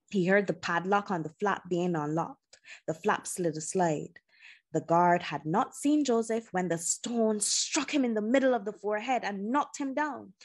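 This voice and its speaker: A woman narrates a children's adventure novel in a mid-pitched voice with a husky edge. Her delivery is expressive and deliberate, giving key words dramatic emphasis as a storyteller would. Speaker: storyteller reading a novel aloud.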